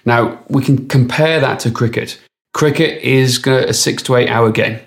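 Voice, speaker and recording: A man's voice: mid-range voice, steady tone, normal speed. Brief: male strength coach co-host, chatty storyteller, voice slightly worn. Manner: conversational, anecdotal, with restarts. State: losing his voice. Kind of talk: casual podcast chat.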